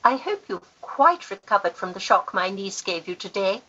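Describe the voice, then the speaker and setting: A woman's voice: mid-range voice, sing-song, moderate pace, fairly bright tone, lo-fi sound. A woman acting a grand, gushing, easily affronted society aunt in a Victorian radio farce, theatrical and emphatic. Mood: coaxing.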